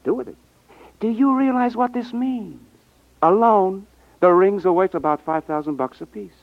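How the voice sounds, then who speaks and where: A man's voice: sits high, normal speed, no echo. A man acting in a 1950s radio drama as a brash, pushy, slangy salesman feigning honesty. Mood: excited scheming. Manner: slangy, insistent. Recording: muffled 1950s radio recording.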